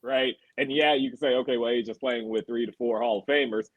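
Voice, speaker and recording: A male sports anchor joins the show over earbuds and talks in a loose, slangy way, with plenty of fillers. His pitch is middling, and the sound is close and dry. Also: brisk, dry recording, narrow, tinny audio. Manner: relaxed and slangy, many fillers. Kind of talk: casual sports talk. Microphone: earbuds on a call.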